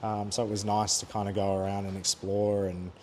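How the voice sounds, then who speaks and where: An Australian man in his thirties, a head chef chatting easily in an interview, pausing with fillers and laughing now and then. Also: lowish voice, level tone, darkish tone, slight background noise. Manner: easygoing, laughs often, thoughtful pauses with fillers. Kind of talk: relaxed conversational interview.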